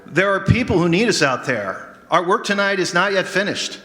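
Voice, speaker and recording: A man playing a calm, moralizing ambulance partner in an old-time radio drama, with measured, formal phrasing. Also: high-pitched. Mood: solemn, firm. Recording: echoey hall stage recording.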